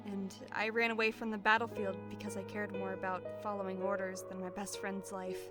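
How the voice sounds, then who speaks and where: A woman's voice: mid-range voice, little pitch movement, a little fast, music in the background, close to an everyday voice. A woman playing an earnest young soldier and loyal friend in a fantasy audio drama, with a dramatic delivery. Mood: remorseful.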